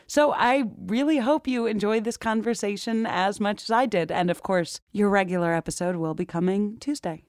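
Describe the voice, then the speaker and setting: A woman's voice: mid-range voice, normal speed, few pauses, a little mellow, smooth, dry recording, studio quality. A woman podcaster talking in a casual, conversational way. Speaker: podcast host and guest.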